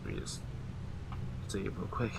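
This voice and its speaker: A man's voice: measured pace, very noisy. A man giving casual, rambling let's play commentary on a video game. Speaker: gaming video host. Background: game music.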